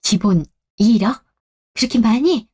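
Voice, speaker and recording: A woman's voice: a little high, expressive, unhurried, dark tone, husky. An overbearing older mother-in-law from a radio drama, acted by a woman. She scolds and nags in a raised, harsh voice, always ready to take offense. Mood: greedy surprise. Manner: harsh, nagging, indignant. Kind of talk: Korean radio drama acting.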